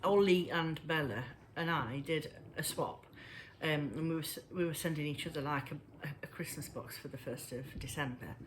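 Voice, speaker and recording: A woman chatting casually to camera about her knitting, a little breathless and wheezy, pausing often as she talks. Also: low voice, a little hiss or hum, rough recording. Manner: halting, pauses often. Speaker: knitting vlogger. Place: at home indoors.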